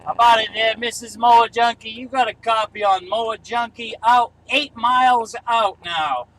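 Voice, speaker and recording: Male CB hobbyist, high forceful voice, casual radio check-ins, faint vehicle noise behind. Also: normal speed, low-quality recording. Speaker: CB radio hobbyist running a range test.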